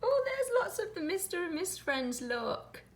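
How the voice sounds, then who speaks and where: A woman's voice: very high voice, very animated, unhurried. A woman co-hosting a children's storytime, commenting on picture book pages and exclaiming to young listeners.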